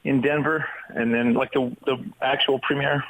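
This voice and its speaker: A man from Canada giving a casual radio interview as a guest, talking in a halting, rambling way and repeating words as he goes. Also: mid-range voice, fairly even intonation, fairly bright tone, poor audio. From Canada, near Vancouver.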